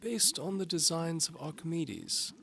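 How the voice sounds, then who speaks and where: A haughty, high-pitched old duke. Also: male voice, big pitch swings, unhurried, gravelly, bright, forceful tone, slight background noise, heavily acted. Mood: lecturing. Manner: pompous, snappish, grunting. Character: stern, haughty old duke and alchemist, male.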